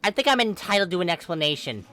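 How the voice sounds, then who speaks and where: A woman's voice: lowish voice, very animated, fast talker, fairly bright tone, pitched above the performer's own voice. Casting: woman as cocky teen troublemaker girl in a storybook reading, brash, taunting, slangy, music underneath. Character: brash, cocky teenage delinquent girl with a bat.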